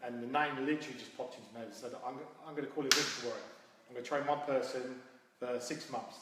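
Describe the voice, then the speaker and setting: A man's voice: medium pitch, steady tone, fast. A man, telling his story informally as a coach being interviewed. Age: 40s. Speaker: guest, MMA coach and founder. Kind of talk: casual interview answers. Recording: very echoey hall, distant mic, faint noise.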